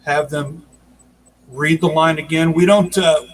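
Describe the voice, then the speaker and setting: Halting higher-voiced man over background noise. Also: steady tone, low-quality recording. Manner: halting, explanatory. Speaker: audio drama producer and director. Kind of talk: casual interview chat. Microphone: video call. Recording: noticeable background noise, video call.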